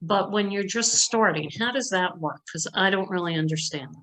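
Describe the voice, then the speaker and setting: A woman's voice: medium pitch, normal speed, fairly bright tone, poor audio. An older woman talking informally at a virtual meeting in a conversational, plainspoken and emphatic way. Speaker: donor asking questions at an online meeting.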